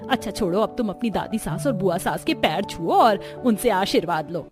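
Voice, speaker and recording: A woman's voice: medium pitch, animated, moderate pace, faint music behind, lightly acted. A woman voicing a traditional middle-aged mother-in-law in a cartoon, polite and placating as she gives instructions. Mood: instructing.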